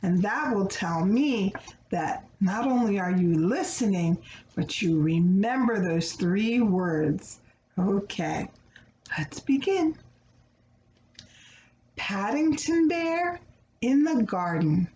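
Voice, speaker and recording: A woman reading a children's story aloud in a teacherly way, pausing to check in with her young listeners. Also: medium pitch, darkish tone, muffled recording. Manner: addresses young listeners with questions and pauses. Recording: roomy echo, faint background noise.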